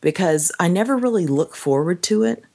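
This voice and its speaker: Earnest low-voiced woman. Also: expressive, moderate pace, vocal fry, darkish tone. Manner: earnest, reflective, laughs often. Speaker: podcast co-host. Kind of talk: casual chat.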